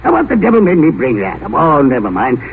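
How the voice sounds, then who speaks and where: Noisy vintage radio broadcast, volatile old tycoon, raised pitch, blustery, folksy, fearful of death. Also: male voice, huge pitch swings, fairly quick, narrow, tinny audio. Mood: folksy, restless. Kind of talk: 1950 radio drama acting.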